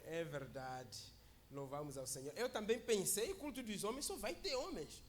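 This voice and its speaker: A man with a high voice gives a friendly sermon in a church. He jokes with the people and keeps checking in with them as he talks. A little background noise can be heard in the room. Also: sing-song, normal speed. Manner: chatty and joking, often asks "né?" and calls for amens. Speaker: guest preacher. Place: church service.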